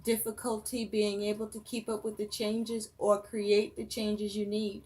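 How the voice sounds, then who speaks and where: A woman giving a tarot reading for her viewers, listing phrase after phrase in a slow, measured way. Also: mid-range voice, level tone, a little mellow, poor audio. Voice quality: voice rough and breaking, coughing. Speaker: tarot reader.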